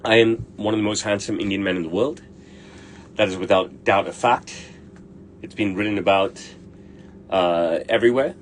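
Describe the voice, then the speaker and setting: A man's voice: on the low side, fairly even intonation, moderate pace, pauses often, slight background noise. A middle-aged man, a tailor, answering viewer questions in a blunt, brash way and swearing freely. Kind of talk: casual livestream Q&A.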